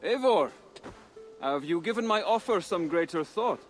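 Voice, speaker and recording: A man playing a modest, devoted disciple of a secret brotherhood in a video game, speaking politely, gently and formally. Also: high-pitched, animated, normal speed. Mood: earnest, humble. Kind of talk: dramatic video game acting. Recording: light music behind the voice.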